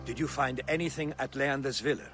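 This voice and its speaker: An actor playing an earnest, educated Greek politician in a video game. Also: male voice, mid-range voice, steady tone, brisk, fairly bright tone, faint music behind, lightly acted. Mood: grateful, dry.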